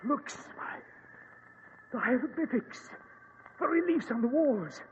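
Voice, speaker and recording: High-voiced excitable gentleman archaeologist. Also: slow pace, big-room sound, full character voice. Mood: excited, urgent. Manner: theatrical, dismissive, exclaiming. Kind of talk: old-time radio drama acting. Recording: dull, hissy old radio recording.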